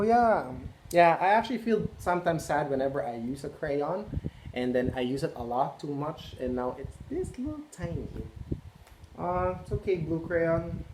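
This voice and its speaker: Chatty man reading a picture book aloud. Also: on the high side. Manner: chatty, asks listeners questions. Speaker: read-aloud host. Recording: echoey room, faint noise.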